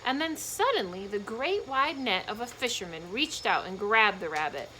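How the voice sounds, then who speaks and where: A woman's voice: mid-range voice, big pitch swings, moderate pace, bright, forceful tone, slight background noise, poor audio. A woman reading a children's storybook aloud expressively, with casual explanatory asides to young listeners.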